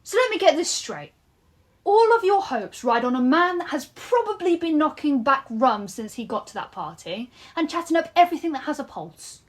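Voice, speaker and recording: A woman's voice: sits high, big pitch swings, normal speed. A woman acting in an audio drama as a bored, sceptical young woman with a dry, dismissive, sarcastic manner. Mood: exasperated, sarcastic.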